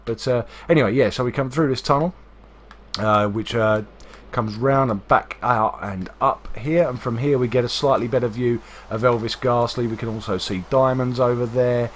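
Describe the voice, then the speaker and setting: Hoarse, congested man streaming a game. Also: mid-range voice, a little hiss or hum. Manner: chatty, rambling, many "uh" fillers. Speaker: livestream host. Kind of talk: casual gameplay commentary.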